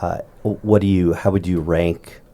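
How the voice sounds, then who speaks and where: A man's voice: deep voice, fairly even intonation, moderate pace, dark tone. A man hosting a school podcast, asking casual, joking interview questions with plenty of fillers. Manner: friendly, jokey questions with fillers.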